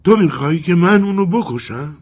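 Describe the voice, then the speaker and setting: A restless wind spirit drifts through half-remembered tales, sly and teasing, as a man puts him on. The voice sits higher than the narrator's own, loud and lively, with a husky edge. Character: restless male wind spirit telling stories.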